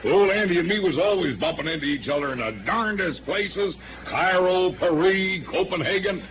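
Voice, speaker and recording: An actor playing a jovial, pushy, folksy globetrotter who drawls chummily in 1950s radio drama style. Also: male voice, high-pitched, normal speed, close to an everyday voice. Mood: boastful, chummy. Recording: old noisy, narrow-band radio recording.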